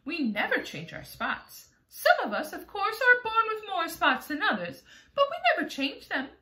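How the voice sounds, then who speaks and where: A woman's voice: very high-pitched, big pitch swings, moderate pace, sharp tone, echoey room, pitched above the performer's own voice, heavily acted. A woman voicing a prim, genteel little ladybug. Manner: modest, refined, a little snobbish. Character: prim proud female ladybug, small and genteel.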